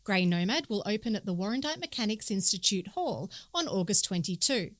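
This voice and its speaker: A woman's voice: medium pitch, big pitch swings, brisk, no room sound. Woman reading an article, dry sound. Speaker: newspaper article reader. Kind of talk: scripted narration.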